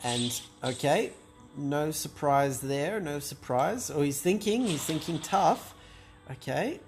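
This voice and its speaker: A man's voice: on the high side, animated, takes it slowly, darkish tone, faint music behind. Hesitant man thinking aloud. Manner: hesitant, self-doubting, lots of restarts and fillers. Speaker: gameplay commentator. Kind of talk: thinking aloud while playing a card game.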